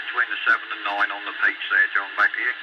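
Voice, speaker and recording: A man's voice: on the low side, flat delivery, fast talker. A man making casual radio contact as an amateur operator, talking in radio jargon. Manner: radio jargon, spelling out with phonetic alphabet. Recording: dull lo-fi radio recording, loud static.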